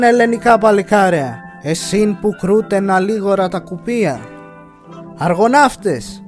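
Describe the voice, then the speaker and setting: A man voicing commanding figures of an ancient Greek cartoon myth, declamatory, with a Pontic Greek accent. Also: high-pitched. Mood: urgent warning. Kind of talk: scripted cartoon dubbing. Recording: music under the voice. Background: background music.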